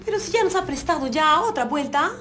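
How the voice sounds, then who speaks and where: An actress with a Peruvian accent playing an anxious, doting wife in a TV comedy serial, coaxing and plaintive. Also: female voice, sits high, animated, a little fast, fairly bright tone, a little hiss or hum. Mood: worried. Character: doting, anxious working-class wife, eager to please. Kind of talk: telenovela comedy acting. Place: small room on TV set. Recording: background music under dialogue.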